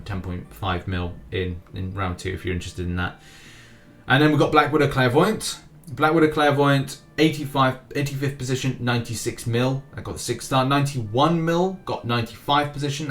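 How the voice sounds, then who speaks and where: A man of middle pitch hosts a gaming news roundup in a relaxed, chatty way. He often restarts phrases and runs through lists of scores and rankings one after another.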